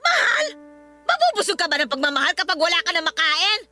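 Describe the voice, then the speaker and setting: Stern, scolding Filipino mother for radio drama: very high, sharp voice, disapproving. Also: sing-song, fairly quick, soft background music, full character voice. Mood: furious. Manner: scolding, sharp rhetorical questions. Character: stern, nagging, disapproving mother of a grown daughter. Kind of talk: Tagalog radio drama acting.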